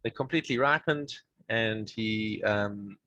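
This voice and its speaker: A man teaching a Mishnah study session over a video call, explaining in a halting way with many restarts. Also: on the low side, bright, forceful tone, dry recording, lo-fi sound.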